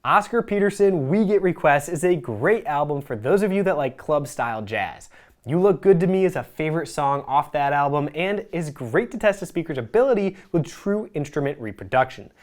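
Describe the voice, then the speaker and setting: Presenter with a lively, varied pitch. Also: normal speed. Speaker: product reviewer, presenter. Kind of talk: scripted review narration.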